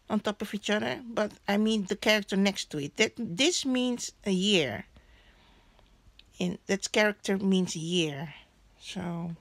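A woman vlogging casually about a newspaper, speaking English as a Hakka and Cantonese native, often pausing to search for words. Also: medium pitch, gravelly, no room sound. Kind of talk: casual chat. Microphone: phone camera held over a newspaper.

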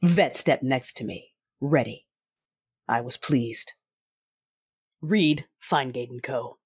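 A woman playing a bitter, imperious Sith Lord bent on vengeance, narrating an audio drama in a grave, measured way. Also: very deep voice, darkish tone, slightly rough, no echo, clean studio sound, heavily acted. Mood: cold, commanding.

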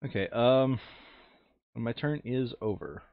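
A man's voice: medium pitch, takes it slowly, no room sound, narrow, tinny audio. A man playing a board game over voice chat, thinking aloud casually and muttering counts and rules to himself.

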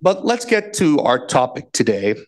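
A man from the giving a conference talk, speaking plainly and reading his points out slowly on a handheld mic. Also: on the high side, big pitch swings. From United States. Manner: plain, measured, reads points out slowly for translation. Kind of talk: slow, deliberate conference talk. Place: conference room with projector. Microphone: handheld microphone.

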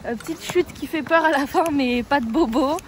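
A woman's voice: high voice, moderate pace, slight background noise. A young woman in her twenties, a skier chatting casually to an action camera, nervous and giggly. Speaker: freestyle skier vlogging. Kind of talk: casual vlog chat. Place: outdoors, walking around a city and ski venue. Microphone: action camera held at arm's length.

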